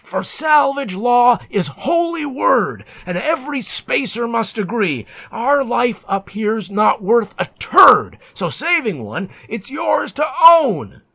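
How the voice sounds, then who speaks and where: A man's voice: very high-pitched, sing-song, slow, vocal fry, hardly any pauses, bright, forceful tone, dry recording, voice pushed up, heavily acted. A man voicing brutal spacer crewmates in a narrative poem, harsh, scornful and declaiming as they bully a shipmate. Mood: contemptuous shouting.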